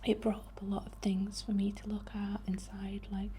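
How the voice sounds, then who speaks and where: A young woman whispering breathily and gently as she guides an ASMR meditation in an informal, unhurried way. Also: medium pitch, completely flat delivery. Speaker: ASMR host guiding a healing session.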